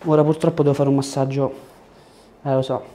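Guy doing a chiro exam, warm dark voice, short gentle cues and questions. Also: mid-range voice, flat delivery, fairly quick. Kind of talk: hands-on exam, instructing a patient. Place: small treatment room indoors.

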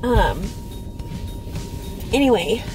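A woman's voice: on the high side, sing-song, extremely slow, music in the background. Congested woman chatting on a phone vlog. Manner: chatty, frequent ums and little laughs. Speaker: vlogger. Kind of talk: casual vlog chat. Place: parked car, then home kitchen. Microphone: phone camera at arm's length.

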